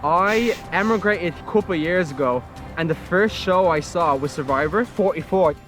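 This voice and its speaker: A young Irish man introduces himself as a reality show contestant, his voice pitched high and full of cheeky bravado. Faint music runs underneath him. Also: expressive, normal speed, a bit bright. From Ireland. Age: 20s. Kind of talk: cast introduction interview.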